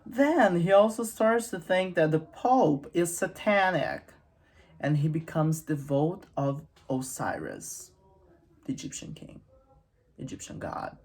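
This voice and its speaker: A woman's voice: fairly low pitch, sing-song, slow pace, darkish tone. A woman hosting a casual, humorous literary commentary, with wry, irreverent asides in a conversational way. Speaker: host of a literary channel.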